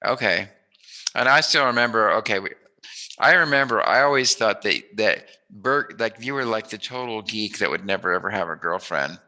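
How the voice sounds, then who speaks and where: A man's voice: fairly low pitch, moderate pace, sharp tone. A middle-aged man chatting casually as an interviewer, telling memories in a jokey, emphatic way. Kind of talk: casual reminiscing interview chat.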